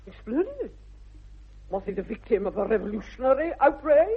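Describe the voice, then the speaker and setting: A woman's voice: fairly high pitch, big pitch swings, normal speed, husky, full character voice. An actress playing a formidable older upper-class English mother in a 1960s radio comedy, haughty and declamatory, with precise articulation. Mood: imperious disapproval. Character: imperious, formidable aristocratic English mother and aunt. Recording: tinny, band-limited vintage radio recording.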